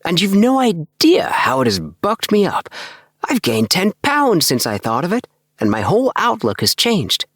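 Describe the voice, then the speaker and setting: A man voicing an excitable, earnest young dreamer in an audiobook, with an emphatic, self-satisfied manner. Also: sits high, moderate pace, dry recording, studio quality, voice pushed up, full character voice. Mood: eager, triumphant. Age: young adult. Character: excitable young dreamer, eager, earnest, obsessed with house plans. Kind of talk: acted audiobook dialogue.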